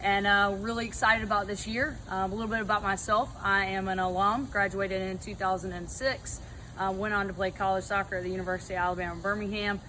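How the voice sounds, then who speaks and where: A woman's voice: medium pitch, fairly even intonation, fast talker, pushed and bright, rough recording. American woman coach, faint background noise. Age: 30s. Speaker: PE teacher and soccer coach. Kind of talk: casual introduction to students.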